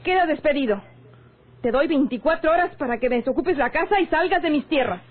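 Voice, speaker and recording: An actress playing a stern, commanding landowner in a radio drama, formal and authoritative, with a husky voice. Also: female voice, high-pitched, expressive, brisk. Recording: muffled old radio serial recording.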